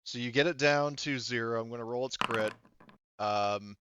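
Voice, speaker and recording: A man working as game master, narrating a tabletop roleplaying game casually and haltingly as he thinks aloud over the dice. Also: mid-range voice, noisy background. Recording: slightly muffled dry voice recording.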